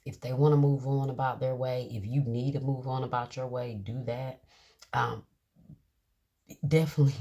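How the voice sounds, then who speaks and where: Husky-voiced woman giving a tarot reading. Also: rumbling low, warm tone. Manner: drawn-out, reassuring, many "okay?" check-ins; reads card meanings aloud slowly. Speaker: tarot reader. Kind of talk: casual tarot reading to viewers.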